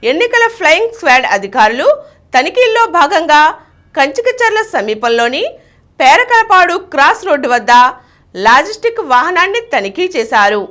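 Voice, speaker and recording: A woman's voice: sits high, sing-song, moderate pace, a bit bright. A woman reading a scripted news bulletin. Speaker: news reader.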